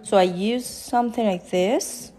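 A woman with a Chinese accent, whose first language is Chinese, talks haltingly while she draws fashion illustrations on a live stream. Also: medium pitch, dark tone. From China. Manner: halting, thinking aloud while drawing. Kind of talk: live-stream drawing commentary. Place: at a desk, drawing on paper.

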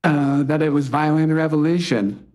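A man giving short, halting, casual answers as a guest being questioned in a game. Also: on the high side, fairly even intonation, a little mellow. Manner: hesitant, terse.

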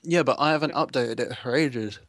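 Young male gaming co-host, mid-range voice, playful and excitable casual chat, recorded dry. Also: medium pitch, a little fast, no room sound.

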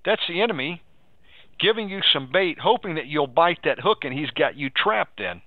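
A man with a slightly high voice counsels as a radio preacher, exhorting with repeated phrases and leading questions. The sound is dry and narrowed like a telephone line. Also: expressive, normal speed, no room sound. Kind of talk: live pastoral counselling on a radio show. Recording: tinny phone-like radio audio.

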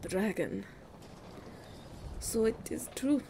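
Woman voicing a commanding monster empress, formal, measured, very slow, dark mellow tone, fantasy audio drama. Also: fairly high pitch, animated, a little hiss or hum. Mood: stately, grave. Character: regal, commanding monster empress, old ally of dragons. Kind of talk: fantasy audio roleplay acting.

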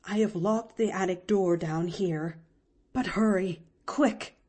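A woman reading aloud, voicing a stern middle-aged spinster aunt who speaks in sharp, clipped commands. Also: lowish voice, slow. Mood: frightened, urgent.

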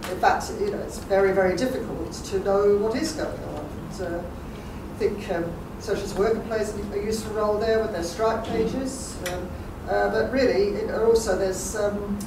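A woman's voice: fairly low pitch, echoey room. Female magazine editor on a panel, loose conversational answer; distant, noisy room recording. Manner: conversational, many fillers. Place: meeting room, seated at front. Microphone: far camera.

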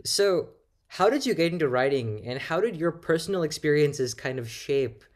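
A man's voice: on the high side, lively intonation, moderate pace. A young man hosting an interview in a polite, earnest, courteous way. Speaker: interviewer, host. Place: indoors on a video call.

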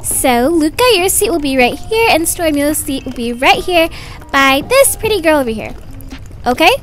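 A self-satisfied kindergarten teacher, acted by a woman for a cartoon roleplay. She is perky and chatty as she instructs, with a high, bright, animated voice. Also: normal speed, soft background music. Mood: brisk, instructing. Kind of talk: animated doll-game roleplay voice acting.

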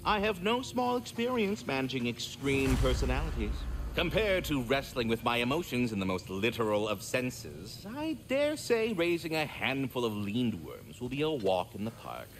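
A man's voice: mid-range voice, big pitch swings, normal speed, hardly any pauses, a little hiss or hum. An actor voicing a courteous, wordy, fatherly giant squirrel with flowery formal speech in a video game. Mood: earnest. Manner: verbose, formal, flowery diction. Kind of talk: theatrical video game acting.

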